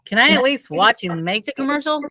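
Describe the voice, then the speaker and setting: A woman's voice: sits high, expressive, brisk, pushed and bright. A woman teacher reading the dialogue of a children's novel aloud, a little away from the microphone. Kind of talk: read-aloud storybook dialogue.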